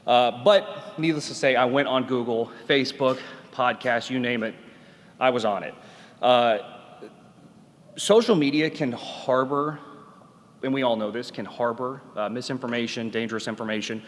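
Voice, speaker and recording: Man, 30s, loud earnest speaker, frequent fillers, reverberant conference hall. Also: mid-range voice, moderate pace. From Nashville, Tennessee. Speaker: patient advocate speaker. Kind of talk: conference talk.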